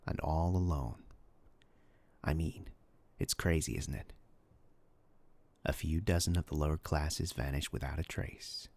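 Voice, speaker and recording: A man playing a sinister, hungry demon in a human body for a dark fantasy roleplay, speaking with slow, mock-polite menace in a gravelly, husky, breathy voice. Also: rumbling low, heavily acted. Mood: feigned concern.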